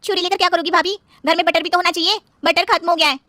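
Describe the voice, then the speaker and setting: Lady doing a harried young bahu, chatty and cheeky, high voice, talks super fast. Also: steady tone, bright, forceful tone, dry recording, clean studio sound. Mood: complaining. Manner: chatty, pert. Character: harried, outspoken young working daughter-in-law.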